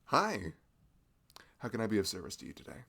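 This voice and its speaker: Young man, deep gravelly voice with lively swings, giggly chatty shopkeeper roleplay. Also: a little fast. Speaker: ASMR roleplay creator. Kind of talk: scripted audio roleplay, chatty.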